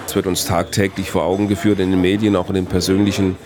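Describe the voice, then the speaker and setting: A man, a philosophy professor answering interview questions in a measured, reflective way. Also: low-pitched, little pitch movement, crowd noise. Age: 60s. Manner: measured, reflective, builds long reasoned sentences.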